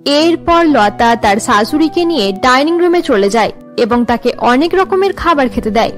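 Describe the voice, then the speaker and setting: Female storyteller for cartoon drama: high voice, scripted narration, music bed. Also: lively intonation, normal speed.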